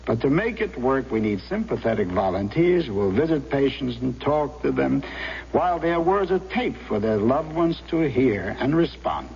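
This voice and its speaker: A man's voice: medium pitch, big pitch swings, normal speed, creaky, darkish tone. A man making an earnest, scripted public service appeal on old radio. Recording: muffled old radio with hiss, hum.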